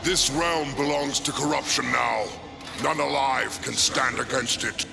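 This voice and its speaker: An actor playing a solemn, haughty undead lord of the dead in a video game, with a gravelly, imperious, theatrical delivery. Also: male voice, medium pitch, steady tone, measured pace. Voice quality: gravelly, creaky. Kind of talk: video game cutscene acting. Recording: loud cinematic music behind.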